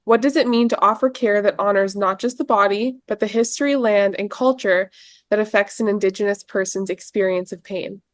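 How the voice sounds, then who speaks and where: A woman's voice: mid-range voice, brisk, no room sound, clean studio sound. A young woman, hosting a prepared podcast interview as a student interviewer. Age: young adult.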